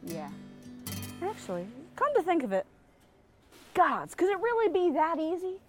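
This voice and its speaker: A woman playing a wry, quick-witted halfling storyteller in a fantasy audio drama, sardonic and theatrical. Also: very high-pitched, huge pitch swings, faint music behind, heavily acted. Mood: musing.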